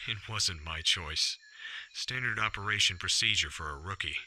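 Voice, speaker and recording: A man playing an authoritative older military commander in a radio drama, giving firm, measured briefings. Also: sits low, sharp tone, full character voice, poor audio. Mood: businesslike.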